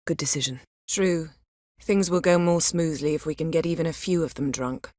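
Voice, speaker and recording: Woman doing a proud sorceress for a game, lowish female voice, cool n clipped, sardonic, dry close recording. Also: moderate pace, dark tone, no room sound, lightly acted. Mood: cool, composed. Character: proud, commanding sorceress, sharp-tongued and guarded. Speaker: video game character. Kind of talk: scripted game dialogue acting.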